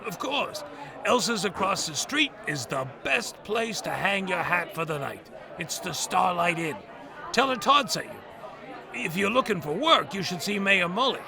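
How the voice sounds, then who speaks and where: A man's voice: sits high, very animated, a little slow, gravelly, a crowd in the background, exaggerated voice. A man playing a folksy, hesitant old diner owner in an audio drama. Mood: folksy, helpful.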